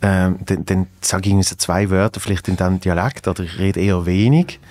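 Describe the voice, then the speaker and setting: A man's voice: deep voice, fairly even intonation, fast, darkish tone. A young man with a Basel Swiss German accent hosting a podcast, talking hesitantly with many restarts and easy laughs. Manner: hesitant, repeats words, laughs often. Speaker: podcast host. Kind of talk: casual conversation. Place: dark podcast studio at a table. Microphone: studio desk mic on a boom arm.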